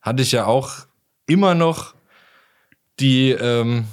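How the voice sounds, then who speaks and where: A man's voice: medium pitch, slow pace, clean tone. A man chatting casually as a podcast co-host, with wry, joking remarks. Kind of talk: casual chat.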